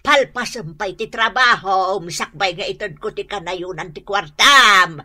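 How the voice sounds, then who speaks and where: An actress with an Ilocano accent playing a brisk, firm married laundry shop owner in an emphatic, expressive radio drama style. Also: female voice, medium pitch. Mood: firm, scolding.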